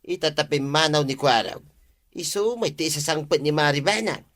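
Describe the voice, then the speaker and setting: A woman's voice: low-pitched, expressive, normal speed, a bit bright. A woman acting a nosy, scheming village gossip in an Ilocano comedy drama, with an Ilocano accent.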